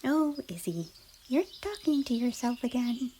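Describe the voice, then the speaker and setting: A woman's voice: on the high side, measured pace. A woman voicing a bashful, giddy, affectionate young unicorn pony in an ASMR fan fiction story. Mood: bashful hushed muttering. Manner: giggly, excitable, dropping into hushed muttering. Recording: clearly noisy, birdsong behind. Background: birdsong and outdoor ambience.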